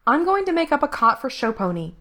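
Casting: sharp-tongued rebel woman played by a female narrator, higher voice, brash, snappy, audiobook dialogue. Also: sing-song, quick pace, exaggerated voice. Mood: snappy, irritated.

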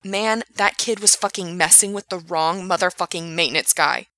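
A man's voice: high voice, moderate pace, pushed and bright, dry recording. A woman voicing a shady young male worker with a nasal, sneering voice. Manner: sneering, mocking.

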